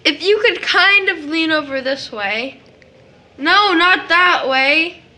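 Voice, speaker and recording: A woman's voice: extremely high pitch, lively intonation, sharp tone, full character voice. A woman playing an affectionate, cheeky little boy of about seven in an audio drama, with childish, coaxing speech. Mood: cheeky, playful. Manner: childish grammar and deliberate, coaxing delivery. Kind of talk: stage-style audio drama acting.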